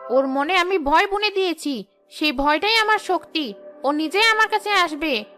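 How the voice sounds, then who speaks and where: A woman's voice: very high voice, lively intonation, moderate pace, sharp tone, soft background music, full character voice. A woman voicing a possessive, taunting female village witch-ghost in a horror cartoon. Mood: gloating menace. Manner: taunting, commanding.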